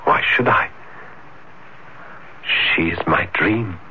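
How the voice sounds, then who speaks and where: An actor in an old radio drama playing a lonely, shy, obsessive romantic who speaks in halting, fervent repetitions. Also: male voice, deep voice. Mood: dreamy, fervent. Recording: dull, noisy vintage radio broadcast.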